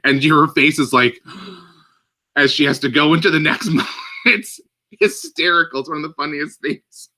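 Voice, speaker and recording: A man co-hosting a casual movie podcast, telling stories in an animated way with lots of filler words. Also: a little high, moderate pace. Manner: animated storytelling with many "like" fillers. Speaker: podcast co-host. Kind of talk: casual chat.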